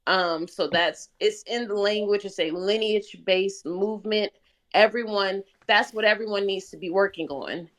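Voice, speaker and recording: A woman talking casually as a panelist, explaining her reasoning in a measured way. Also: mid-range voice, a bit bright. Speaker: discussion panelist. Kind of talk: casual discussion.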